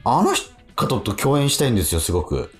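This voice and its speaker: A man's voice: mid-range voice, big pitch swings, moderate pace. A middle-aged man co-hosting a radio show in casual, talkative chat. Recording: radio talk with faint background music. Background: faint music.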